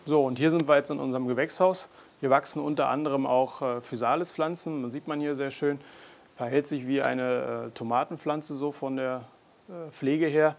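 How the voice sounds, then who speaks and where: A young man, a chef, calmly explaining a dish step by step with a few filler pauses, on a lapel mic. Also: medium pitch, vocal fry. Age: young adult. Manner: relaxed, plain explaining with frequent filler pauses. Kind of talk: cooking demonstration. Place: garden, greenhouse and restaurant kitchen. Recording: sizzling kitchen noise behind. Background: kitchen noise and sizzling pan.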